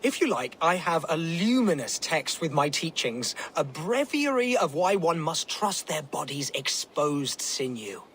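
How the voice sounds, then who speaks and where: An actor playing a flamboyant, fanatical preacher in a video game, pompous and florid, with a gleeful streak of vengeance. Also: male voice, on the high side, sing-song, a little slow, few pauses, a bit bright, a little hiss or hum. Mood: jubilant. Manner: pompous, grandiose, florid declamation. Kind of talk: theatrical video game character acting.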